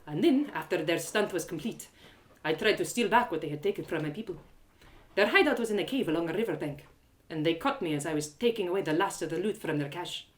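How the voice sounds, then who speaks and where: A woman's voice: fairly low pitch, animated, fast talker, close to an everyday voice. A woman voicing a frank, inquisitive former woman thief in an audio drama, talking in a chatty, knowing, probing way. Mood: confessing.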